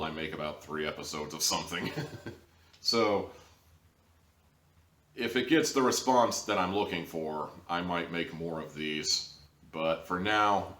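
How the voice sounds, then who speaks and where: A man's voice: sits low, a bit bright. A young man giving a casual, rambling review to camera in an informal way, with some theatrical emphasis. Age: young adult. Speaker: reviewer, show host. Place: small living room, indoors. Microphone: camera a few feet away. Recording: roomy echo, distant camera mic.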